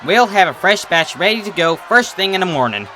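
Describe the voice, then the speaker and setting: Storybook farm pony mare, played by a man: Southern drawl, folksy, friendly, bright and raised in pitch. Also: very animated, brisk, some chatter behind, a little hiss or hum, heavily acted. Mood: announcing loudly.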